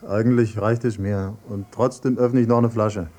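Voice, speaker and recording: Sardonic, grim narrator male actor for radio drama, low mellow register, clipped dry recital. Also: fairly even intonation, normal speed, natural voice.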